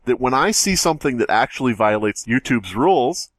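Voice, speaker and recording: A man's voice: mid-range voice, expressive, a little fast, dry recording. A man hosting a podcast, telling a story in casual, profane chat. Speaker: podcast host.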